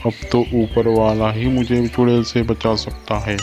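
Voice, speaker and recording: Lion king, cartoon moral tale: male actor, mid-pitched, uneven swings kept modest, pompous bluster hiding a coward, music clearly behind. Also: completely flat delivery, unhurried, close to an everyday voice. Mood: anxious. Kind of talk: Hindi animated moral story dialogue.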